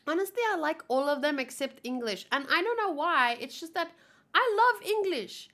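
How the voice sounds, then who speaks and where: A woman's voice: high-pitched, expressive, moderate pace, a bit bright. A woman whose first language is Afrikaans, chatting casually in a rambling, giggly way and often correcting herself as she hosts a manga read-along.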